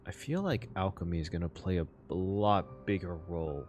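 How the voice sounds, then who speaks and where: A man giving casual live reaction commentary as a gaming streamer, musing aloud in halting phrases. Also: low-pitched, animated, a little mellow, slight background noise.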